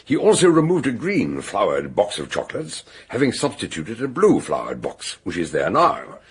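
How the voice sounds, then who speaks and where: A man playing a shrewd, avuncular detective doctor in a radio mystery, questioning courteously in a husky voice. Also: lowish voice, huge pitch swings, moderate pace, full character voice. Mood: confident, explanatory. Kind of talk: radio detective drama acting.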